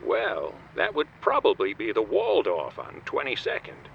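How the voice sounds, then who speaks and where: A man playing an obliging shopkeeper with a gravelly voice in a comedic radio play. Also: mid-range voice, huge pitch swings, measured pace, exaggerated voice. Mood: wary. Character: obliging small-town junk shop keeper, male. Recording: dull lo-fi, faint noise.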